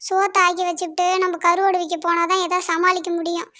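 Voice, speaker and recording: A performer voicing a hard-up, grumbling village mother in an animated story, in colloquial speech. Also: extremely high pitch, fast talker. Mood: worried. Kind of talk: cartoon dialogue in colloquial rural speech.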